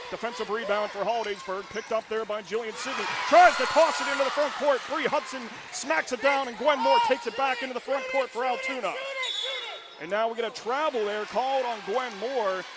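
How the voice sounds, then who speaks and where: Man, high voice, radio play-by-play announcer, excited shouted calls, high school gym, loud gym noise behind. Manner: rapid, excited calls that rise to shouts on big plays. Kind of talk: live basketball play-by-play.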